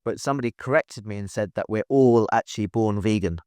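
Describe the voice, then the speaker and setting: A man's voice: lowish voice, brisk, darkish tone, smooth, no echo, clean studio sound. A man hosting a podcast interview, asking friendly, brief questions. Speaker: podcast host.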